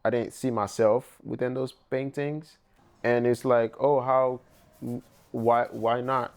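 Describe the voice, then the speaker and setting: A man's voice: mid-range voice, little pitch movement, slow pace. A young man from Haiti with a Haitian accent, a painter in a documentary interview, speaking reflectively with loose phrasing and many fillers. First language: Haitian Creole.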